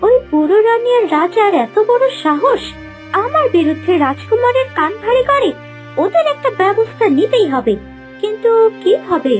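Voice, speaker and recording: A woman's voice: extremely high pitch, sing-song, moderate pace, soft background music. A woman voicing a sly, arrogant young female impostor in a theatrical cartoon style. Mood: scheming, menacing. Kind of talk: cartoon villain voice acting.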